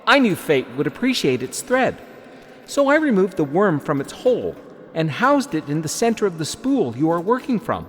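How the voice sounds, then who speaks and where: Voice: mid-range voice, very animated, normal speed, close to an everyday voice. A performer playing a male travelling thread merchant in a comic audio drama, sly, nitpicking, hesitant and fussy. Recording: reverberant hall with music underneath.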